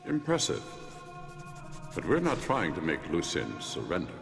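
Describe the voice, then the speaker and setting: An actor playing a haughty, condescending mage with dry, formal delivery in a fantasy video game. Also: male voice, sits low, huge pitch swings, unhurried, vocal fry, big-room sound, full character voice. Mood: dry disdain. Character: haughty, dry-witted male mage, scholarly and arrogant. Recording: game music behind the voice.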